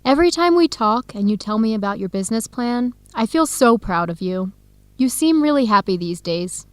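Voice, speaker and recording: An actress playing a warm-hearted young woman in an educational radio drama, expressive and conversational. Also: fairly high pitch, moderate pace, no echo, natural voice. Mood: friendly, teasing. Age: young adult. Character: Helen, warm-hearted young woman, defensive about her boyfriend.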